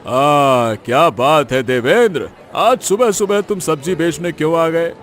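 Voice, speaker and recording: A man's voice: a little high, unhurried, a bit bright, a faint crowd. A man voicing a hearty village headman in a cartoon story, effusive and declarative in his praise. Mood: admiring. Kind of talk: scripted cartoon story acting.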